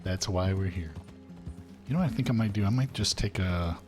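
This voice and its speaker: A low-pitched man with a folksy drawl, chatting with other players and viewers while he plays a western game. He talks casually and pokes fun at himself, with faint music playing behind him. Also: fairly quick. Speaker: streamer playing an old cowboy character. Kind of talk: roleplay and chatting with stream chat.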